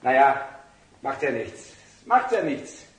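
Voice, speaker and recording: A man's voice: medium pitch, very animated, slow, breathy edge, heavily acted. A man playing a fawning, self-important schemer in a radio drama, wheedling and pompous with flustered bluster. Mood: wounded, placating. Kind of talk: theatrical radio drama acting.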